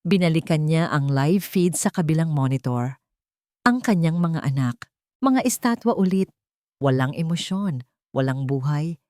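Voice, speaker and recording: A woman's voice: low voice, expressive, unhurried, darkish tone, dry recording, clean studio sound. A woman narrating a scripted dramatic story in an emotive way, with short, weighted phrases.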